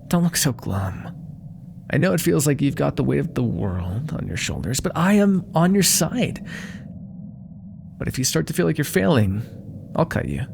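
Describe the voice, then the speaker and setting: Man playing a vain, silky demon boss, swooping pitch. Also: vocal fry, noisy background. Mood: coaxing, menacing. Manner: theatrical, condescending, coolly threatening with mock affection. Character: vain, menacing, silky male demon crime lord. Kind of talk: ASMR audio roleplay monologue.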